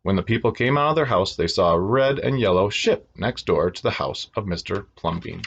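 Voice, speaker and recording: Animated low-voiced man reading a storybook. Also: brisk, creaky, slightly rough, dull audio. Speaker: children's storybook reader. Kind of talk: expressive read-aloud storytelling for young children.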